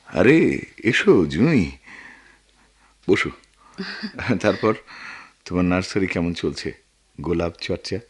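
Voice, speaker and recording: A deep, brooding war veteran. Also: male voice, big pitch swings, husky, heavily acted. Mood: welcoming. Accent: Bangladeshi. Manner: brooding, halting, dramatic delivery with long pauses. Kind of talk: Bengali radio drama acting.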